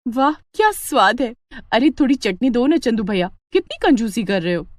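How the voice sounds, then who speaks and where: A woman voicing a lively, food-loving young village woman, chatty and eager, in a storybook tale. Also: fairly high pitch, moderate pace, no echo, clean studio sound, pitched above the performer's own voice. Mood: playful, excited.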